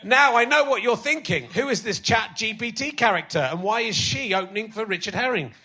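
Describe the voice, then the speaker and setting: A male comedian hosting a live podcast on a theatre stage, chatty and self-mocking, his voice slightly croaky from illness. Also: high-pitched, expressive, a little fast, bright, forceful tone. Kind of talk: live stand-up banter on stage.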